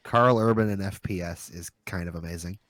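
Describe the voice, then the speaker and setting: A man's voice: low-pitched, fairly quick, fairly bright tone, no room sound. A man joining a casual group discussion about films as a podcast co-host.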